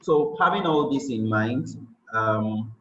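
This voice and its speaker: A man from Nigeria with a Nigerian accent giving a tech presentation, unhurried and filling pauses with many ums. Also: mid-range voice, animated, fairly bright tone. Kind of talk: conference presentation. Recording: roomy, slightly dull sound.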